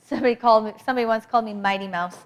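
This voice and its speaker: A woman teaching an astrology workshop in a loud, emphatic voice, repeating key points for her class. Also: a little high. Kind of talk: workshop lecture.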